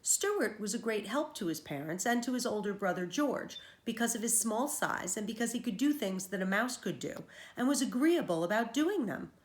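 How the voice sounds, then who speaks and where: A woman's voice: fairly high pitch, lively intonation, moderate pace, hardly any pauses, reverberant. A woman teacher reading a children's storybook aloud, explaining things to young listeners as she goes.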